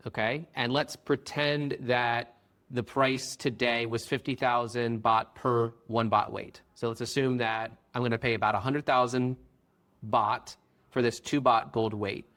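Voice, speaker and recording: A man's voice: medium pitch, steady tone, unhurried, fairly bright tone. A man hosting an interview, asking questions and summing up the guest's points in a friendly way. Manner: friendly, sums up and checks facts. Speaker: podcast host.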